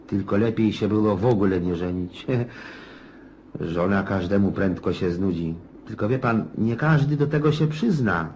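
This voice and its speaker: An actor playing a talkative, grumbling older country landowner in a radio drama, rambling in a folksy, world-weary yet jovial way. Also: male voice, on the low side, muffled recording, slight background noise, lightly acted. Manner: rambling, folksy, chuckling, exclamatory.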